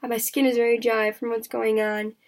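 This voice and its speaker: High, gravelly young woman vlogging. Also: flat delivery. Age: 20s. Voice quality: raspy. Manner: halting, with many fillers and restarts. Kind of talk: candid personal vlog chat.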